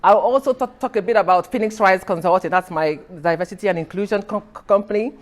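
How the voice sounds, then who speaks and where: A woman's voice: medium pitch, fast. A middle-aged woman from Nigeria giving an earnest personal talk at an event, telling her story with restarts and light laughs. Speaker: speaker. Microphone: recorded for a podcast.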